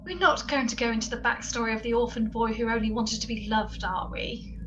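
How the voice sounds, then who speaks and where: Woman doing a brisk no-nonsense detective's pal, dry and bossy, highish voice, radio spoof, noisy recording. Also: dull audio, natural voice. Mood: deadpan. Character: cool, brisk, drily tough detective's ally. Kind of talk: comedy radio play acting.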